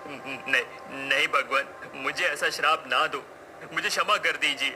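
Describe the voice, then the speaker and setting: A man's voice: a little high, a little slow, music in the background, lightly acted, low-quality recording. A man playing a proud king in a cartoon folk tale, with formal, regal phrasing. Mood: pleading. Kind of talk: cartoon story acting.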